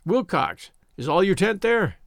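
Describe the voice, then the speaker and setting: A man's voice: high voice, very animated, measured pace, vocal fry, no echo, clean studio sound, heavily acted. A man voicing a strict, exasperated schoolmaster in a story, brusque with his scouts.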